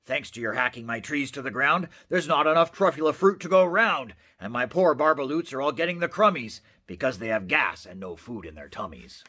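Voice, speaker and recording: A man voicing a gruff, croaky, indignant old forest creature who scolds in storybook rhyme. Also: medium pitch, animated, a little fast, few pauses, sharp tone, pitched below the performer's own voice. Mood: mournful, indignant. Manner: scolding, rhyming storybook speech.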